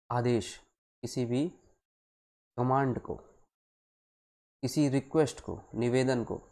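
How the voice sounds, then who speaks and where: A male teacher speaks at a middling pitch. He explains things step by step in a deliberate, instructive way, repeating phrases for emphasis. He moves between Hindi and English and speaks directly to his students as in a live online class. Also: a little mellow.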